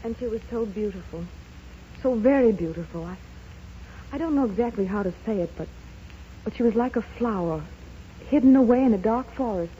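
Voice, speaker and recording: An actress playing a wistful, grieving, soft-hearted woman in a 1930s radio drama, speaking haltingly and sorrowfully. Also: a little high, animated, natural voice. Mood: wistful, pitying. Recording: dull, noisy 1930s radio recording.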